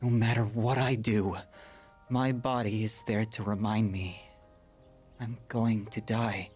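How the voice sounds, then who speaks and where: A sickly, dying young man in a video game drama. He speaks slowly and wearily, breathy and halting, melancholy and resigned, with music behind him. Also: lowish voice, steady tone, a little mellow. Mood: bitter, sorrowful. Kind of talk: English video game dub acting, dramatic monologue.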